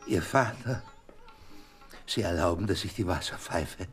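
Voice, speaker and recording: A man's voice: low voice, measured pace, faint music behind. An actor playing a nervous, eccentric, hypochondriac little man in a radio drama, with theatrical, jittery exclamations. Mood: evasive, flustered. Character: nervous, eccentric hypochondriac little bald Englishman, easily flustered.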